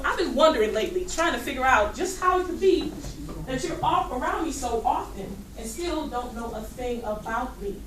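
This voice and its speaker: A woman's voice: fairly high pitch, fairly bright tone. A woman reading a poem aloud as a proud, misjudged young male student, hurt and defiant, with rhythmic emphasis. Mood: proud, bothered. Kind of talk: spoken poem read aloud. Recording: echoey noisy classroom, distant mic.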